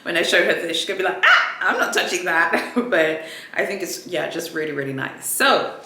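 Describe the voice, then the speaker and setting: A woman whose first language is Swahili talks through a luxury unboxing in a bright, clear voice. Her delivery is chatty and rambling, full of restarts and little fillers. The room around her rings with a noticeable echo. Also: lowish voice, sing-song, normal speed. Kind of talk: casual chatty unboxing vlog. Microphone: ring light setup, camera mic.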